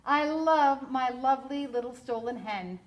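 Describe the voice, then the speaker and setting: A greedy storybook giant bellows his chant and roars for his treasures, as a woman puts him on while reading aloud. The voice sits high and loud, more shouted boast than deep growl. He gloats over every stolen prize. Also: unhurried. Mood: gloating. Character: booming greedy male giant.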